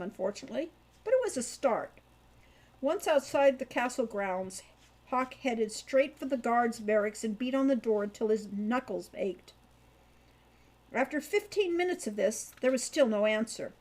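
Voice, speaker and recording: A woman's voice: on the high side, a little mellow, poor audio. A woman reading scripted fiction aloud as an audiobook narrator.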